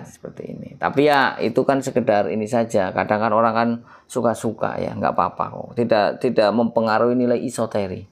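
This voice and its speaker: A man with a stuffy, nasal voice hosts his own channel about heritage blades. He speaks in a measured, prayerful way, leaving long pauses and gentle fillers as he explains. His pitch sits at an ordinary middle height for a man. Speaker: keris collector and channel host. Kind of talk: slow devotional-toned explanation to camera.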